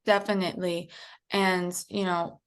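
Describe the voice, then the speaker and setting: A woman's voice: on the low side, level tone, measured pace, clean tone. A woman interviewer asking questions in an enthusiastic, upbeat way.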